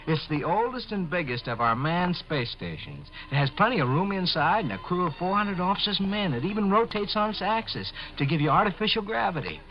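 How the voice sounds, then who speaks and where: An actor playing a playful, doting rocket captain husband with an American accent in a 1950s radio drama, theatrical and teasing. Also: male voice, high voice, sing-song, a little fast, hardly any pauses, a little hiss or hum. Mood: tender, reassuring. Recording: muffled old radio recording with music.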